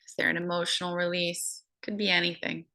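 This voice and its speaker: Creaky low-voiced woman guiding meditation. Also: level tone. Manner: slow, soft, soothing counting. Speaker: meditation guide. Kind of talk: guided breathing meditation.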